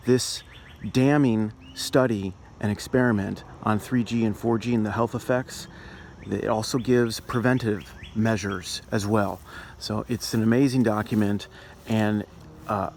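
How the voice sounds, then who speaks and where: Low-voiced man, earnest caller, noisy recording. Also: creaky, darkish tone. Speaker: caller, activist in health and healing. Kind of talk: earnest phone call to a political office. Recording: noisy amateur recording of phone call.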